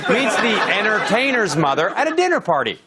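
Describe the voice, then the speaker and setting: A male comedy host with a high, lively voice tosses off dry, sarcastic jokes about TV clips. A studio audience laughs loudly behind him. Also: brisk, a loud crowd. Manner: deadpan snarky jokes, laughing audience reacting. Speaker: comedy clip show host. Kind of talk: sarcastic scripted commentary on TV clips.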